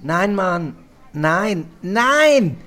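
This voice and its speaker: Man playing a high-pitched, reluctant teenage boy. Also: very animated, very slow, fairly bright tone, exaggerated voice. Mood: exasperated. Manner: grumbling, slangy. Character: reluctant, exasperated teenage schoolboy sidekick. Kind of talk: audio drama dialogue.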